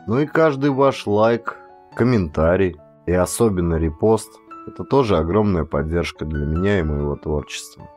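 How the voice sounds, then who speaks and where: A man's voice: low-pitched, animated, moderate pace, darkish tone, faint music behind. A man, an audiobook reader, speaking directly to his listeners in a subdued, plain-spoken appeal. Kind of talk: channel support appeal to listeners.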